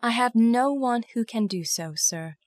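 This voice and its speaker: An actress playing an earnest, proud young governess, speaking with careful, emotional delivery in a dramatized classic novel. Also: female voice, fairly high pitch, animated, a little slow, a little mellow, no echo, studio quality. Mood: tender. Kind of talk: first-person dramatized storytelling of classic novel.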